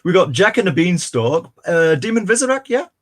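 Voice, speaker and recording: A man co-hosting a casual comics livestream, chatty and rambling with bursts of excitement. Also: sits high, normal speed. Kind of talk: casual chat.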